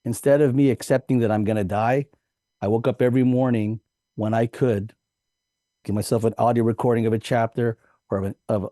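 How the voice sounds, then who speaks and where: Man, podcast guest, warm mellow tone, dry close sound, earnest and reflective. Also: mid-range voice, dry recording. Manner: earnest, reflective, with light laughs. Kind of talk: personal storytelling in an interview.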